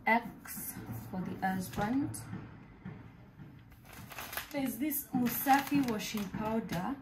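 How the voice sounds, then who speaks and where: A woman's voice: on the high side, noisy background. A young woman with a Kenyan accent chatting casually and animatedly in her home kitchen, filmed close on camera. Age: young adult. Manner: chatty and animated, mixing English with Swahili. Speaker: vlogger. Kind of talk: casual shopping haul chat. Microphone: camera in front at close range.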